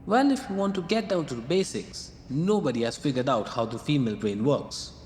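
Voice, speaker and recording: A man playing a calm, gently teasing male muse in a radio drama. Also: medium pitch, fairly quick, close to an everyday voice. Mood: wry. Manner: wry, calm, coaxing. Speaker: the writer's muse. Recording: long reverb, faint noise.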